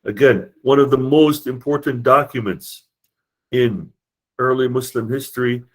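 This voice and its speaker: A man's voice: medium pitch, a little slow. A man lecturing a university class, explaining with rhetorical questions and frequent hesitant fillers.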